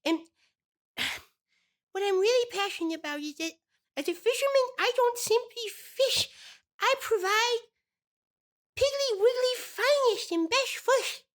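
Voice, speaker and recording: A man voicing a tiny, simple ninety-two-year-old fisherman, earnest and boastful, in a halting comedy interview with sighs and repeated words. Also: very high-pitched, animated, a bit bright, heavily acted. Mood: earnest, proud.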